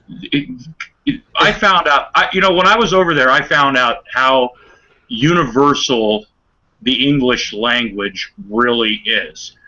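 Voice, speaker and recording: An older man in casual chat over a video call, halting, with many fillers and chuckling asides. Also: medium pitch, sharp tone. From United States. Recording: dull lo-fi online call audio.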